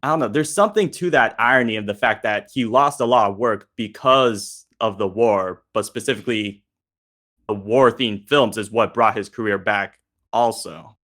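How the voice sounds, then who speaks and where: Male panelist, crisp bright timbre, lowish pitch, measured and explanatory. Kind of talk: panel discussion.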